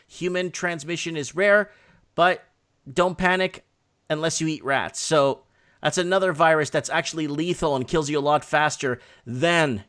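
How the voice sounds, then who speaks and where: A man's voice: on the high side, fairly bright tone. A man hosting a live night show in a casual, blunt and emphatic way.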